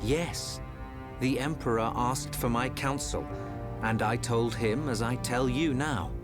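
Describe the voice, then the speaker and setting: Composed, noble prince played by an actor. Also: male voice, medium pitch, slow, over music, natural voice. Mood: reassuring. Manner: formal, composed, reassuring. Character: calm, noble, supportive prince and elder brother. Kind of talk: scripted game cutscene acting.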